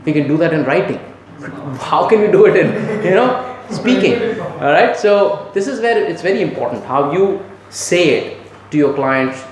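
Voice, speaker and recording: A man coaching in sales, talking conversationally and instructively, stressing key words with deliberate pauses and asking rhetorical questions. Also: fairly high pitch, darkish tone. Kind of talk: training talk on voice modulation. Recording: very echoey room with faint noise.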